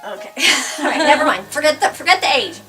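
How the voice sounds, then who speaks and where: Middle-aged woman, high voice, casual halting interviewer, coaxing, roomy distant sound. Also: expressive, bright, forceful tone, faint music behind. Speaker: comedian presenting a one-woman show and interviewing.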